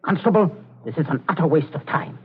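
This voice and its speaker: An actor playing a pompous, scheming middle-aged English father with a British accent and a husky voice, in 1940s radio drama style. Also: on the high side, animated, brisk. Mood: haughty, irritated. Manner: haughty, clipped, dismissive. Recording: tinny, narrow 1940s radio recording.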